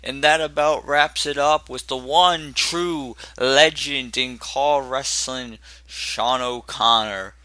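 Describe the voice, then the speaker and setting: A young man playing a show announcer, wrapping up with drawn-out dramatic delivery. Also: medium pitch, steady tone, sharp tone, no echo.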